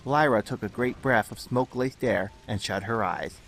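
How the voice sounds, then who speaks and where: A man's voice: on the low side, moderate pace, gravelly, fairly bright tone, slight background noise. A man reading scripted dramatic story narration. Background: music under the narration.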